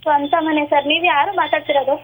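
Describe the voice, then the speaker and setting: A woman's voice: sits high, flat delivery, fast talker. A woman with a Karnataka Kannada accent talking on a phone call, questioning the other speaker insistently. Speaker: prank call recipient. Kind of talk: phone conversation. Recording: very noisy phone line.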